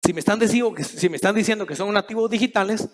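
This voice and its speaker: A middle-aged man from Guatemala giving a conference talk, measured and thinking aloud with frequent restarts and fillers. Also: sits high. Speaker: conference speaker. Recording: long hall reverb.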